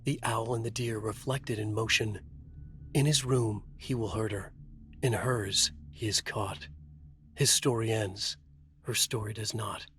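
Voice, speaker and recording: An actor playing a haunted, trapped writer, narrating his nightmare in a moody, deliberate noir style for a video game. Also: lowish voice, fairly even intonation, a bit bright, slight background noise. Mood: solemn, incantatory.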